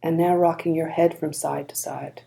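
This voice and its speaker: Low, creaky-voiced woman guiding meditation. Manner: calm, gentle, unhurried instruction. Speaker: meditation guide. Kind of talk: slow guided body scan meditation.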